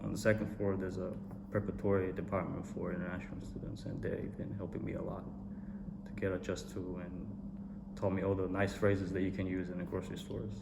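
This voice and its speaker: A young man from Korea answers in a measured, steady way. His voice is low and quite flat. Echo and background noise fill the room around him. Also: gravelly. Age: young adult. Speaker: interviewed student. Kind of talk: calm interview answers. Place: indoor studio room with a cartoon backdrop. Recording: echoey room with noisy background.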